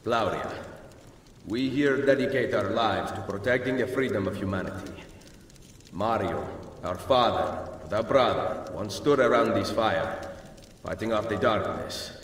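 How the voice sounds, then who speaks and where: Commanding Italian assassin leader, cavernous reverb. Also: male voice, fairly low pitch, animated, a little slow, a little hiss or hum. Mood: solemn. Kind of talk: dramatic video game acting. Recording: cavernous long reverb, music behind.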